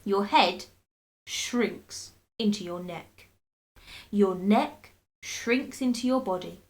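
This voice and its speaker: A woman playing a gloating, spiteful old husband in a children's storybook, taunting with drawn-out mock solemnity. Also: mid-range voice.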